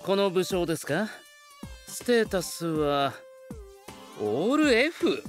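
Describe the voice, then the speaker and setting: Courteous retainer, slightly high, slow formal delivery, composed anime drama lead. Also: male voice, very animated, soft background music, exaggerated voice. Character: polite, composed young warrior leading fugitive retainers.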